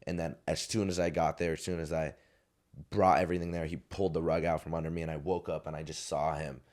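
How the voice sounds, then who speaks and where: Very deep-voiced young man telling a story. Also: flat delivery, fast talker, darkish tone. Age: 20s. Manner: rambling, many restarts and fillers. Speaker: podcast guest. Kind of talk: casual storytelling. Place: podcast studio.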